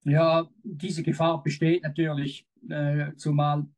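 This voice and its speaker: A man's voice: fairly high pitch, little pitch movement, takes it slowly. A man with a Swiss accent answering as a guest in a political interview. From Switzerland. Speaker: interview guest, former military officer.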